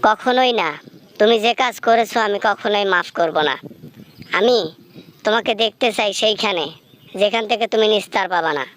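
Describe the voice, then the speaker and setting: A woman's voice: mid-range voice, normal speed, long pauses, fairly bright tone, natural voice. A woman playing a wronged ghost demanding justice in an amateur phone-call skit, solemn, reproachful and threatening. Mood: vengeful.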